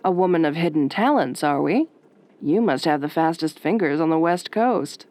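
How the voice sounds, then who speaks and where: A woman acting as a sardonic late-night radio DJ in a horror audio roleplay, soft-spoken and velvety with a dry, wry delivery. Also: low-pitched, animated, normal speed. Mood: smooth, condescending.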